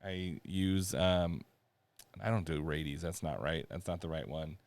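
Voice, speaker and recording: A man's voice: deep voice, a little slow, a little mellow. A man co-hosting a casual sports podcast, wry and hesitant, with lots of fillers. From San Diego area. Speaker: podcast co-host.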